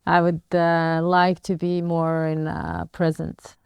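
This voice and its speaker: Interview guest: woman, Latvian accent, lowish creaky voice, measured and reflective with hesitant phrasing. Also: little pitch movement, darkish tone, dry recording. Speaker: interview guest, business leader. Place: furnished indoor lounge studio.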